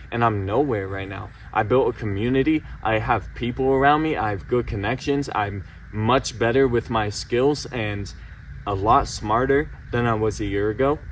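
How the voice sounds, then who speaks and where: A man's voice: on the low side, distant mic, low-quality recording. A late-teen young man pours out his frustration in an insistent, repeating vent. His voice sits a little away from the mic, with faint background noise underneath. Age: late teens. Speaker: vlogger. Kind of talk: emotional personal vent to camera.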